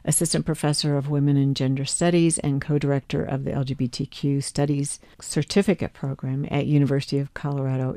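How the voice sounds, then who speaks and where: A woman's voice: low voice, vocal fry, mellow, dry recording. A woman hosting a news magazine, presenting scripted introductions and interview questions.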